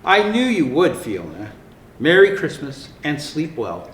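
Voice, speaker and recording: A man voicing a gentle, reassuring mother hippo from a children's storybook in a soothing, tender way. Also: fairly high pitch, wildly swooping pitch, a little slow, pitched below the performer's own voice. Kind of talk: storybook character reading. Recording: roomy echo, faint background noise.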